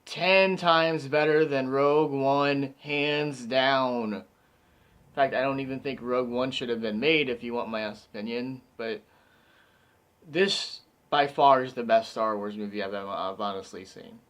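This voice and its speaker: A man giving a casual, rambling movie review, excited and slightly hoarse from talking so much. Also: medium pitch, measured pace. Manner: enthusiastic, rambling with many fillers. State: slightly hoarse, losing his voice.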